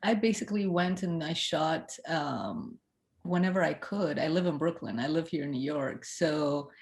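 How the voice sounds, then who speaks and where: A low-pitched woman answers questions in a festival Q&A over a video call. She grew up in Ethiopia and speaks in a thoughtful, hesitant way, often pausing on fillers and restarting her sentences. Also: darkish tone. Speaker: film director. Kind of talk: recorded festival Q&A.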